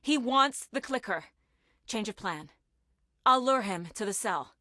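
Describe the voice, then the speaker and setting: A determined female FBI agent from a video game drama. She speaks in an unhurried, measured way with intense focus. The sound is very dry and close, with no room around it. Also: fairly high pitch, lively intonation, pushed and bright, no echo. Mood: urgent, commanding.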